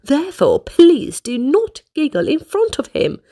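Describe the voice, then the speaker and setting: Woman playing a male restaurant manager, pompous, fussy, overly formal, raised pitch, lively swoops, storybook voice. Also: unhurried, exaggerated voice. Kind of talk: storybook read-aloud.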